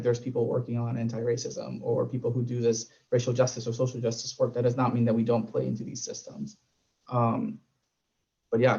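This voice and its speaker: A Spanish-native man from Puerto Rico speaks in a level, even tone, pausing often to gather his thoughts. His voice comes through a dull, lo-fi video call line. He is a panelist sharing something personal. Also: medium pitch, gravelly. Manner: thoughtful, hesitant with many fillers. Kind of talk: personal reflection in a panel discussion.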